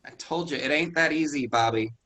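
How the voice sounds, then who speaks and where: A man's voice: on the high side, brisk, bright, forceful tone. An actor reading a stubborn rural young man, curt and defensive in a staged play reading.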